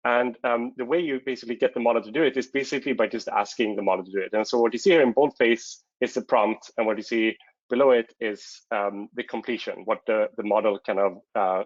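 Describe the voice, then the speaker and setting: A man's voice: mid-range voice, quick pace, no room sound. Hesitant Swedish-native man, dry dull audio. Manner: hesitant, many fillers and restarts. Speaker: conference presenter. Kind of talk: technical talk.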